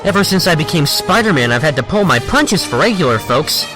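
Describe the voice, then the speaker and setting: An actor playing a young, hot-headed, anguished superhero in an animated comic, speaking earnestly and emotionally. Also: male voice, on the high side, fairly quick, over music. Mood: determined.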